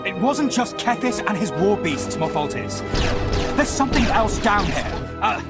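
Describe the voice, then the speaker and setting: A man's voice: high voice, big pitch swings, normal speed. A man playing a desperate, pleading alien army colonel in a video game. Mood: defensive, pleading. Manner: urgent, pleading, defensive. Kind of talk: scripted video game acting. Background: music.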